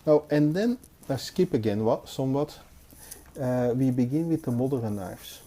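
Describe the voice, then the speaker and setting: Mellow, dark-voiced Belgian man reviewing. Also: medium pitch, lively intonation, a little hiss or hum. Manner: hesitant, halting, unscripted delivery. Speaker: knife collector and reviewer. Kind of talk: casual hands-on review commentary. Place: indoors, at a table.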